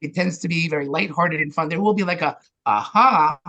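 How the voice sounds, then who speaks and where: A man's voice: on the high side, brisk, pushed and bright. Candid man. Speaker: interview guest, theatre director and playwright. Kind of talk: casual conversational interview.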